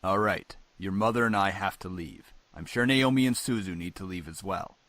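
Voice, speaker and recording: A man's voice: low voice, moderate pace, fairly bright tone, no echo. An actor voicing a stern, controlling businessman father in a visual novel, speaking with commanding authority. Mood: firm approval.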